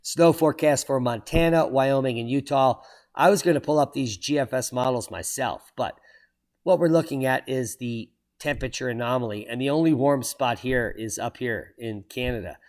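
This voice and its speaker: A man's voice: medium pitch, moderate pace. A man hosting his own channel, reading weather and earthquake headlines aloud and breaking off for sardonic asides and sudden exclamations. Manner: exclaims loudly at surprising items. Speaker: channel host reading weather and geology news. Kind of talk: solo commentary reading headlines.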